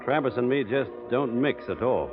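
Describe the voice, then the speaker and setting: A man plays a gallant, teasing cowboy with a folksy American drawl and a mid-pitched, expressive voice. It has the sound of a vintage radio western. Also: moderate pace, over music, natural voice. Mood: quietly firm. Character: laconic, teasing young cowboy hero, gallant. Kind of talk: old-time radio western acting. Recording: dull vintage radio broadcast.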